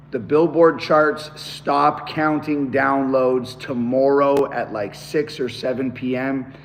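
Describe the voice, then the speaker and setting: A man with a hoarse, worn-out voice, chatting excitedly to viewers on a live stream. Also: medium pitch, in a large hall, slight background noise. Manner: excited, talks straight to viewers. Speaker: musician hosting a live stream. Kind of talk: casual live-stream chat.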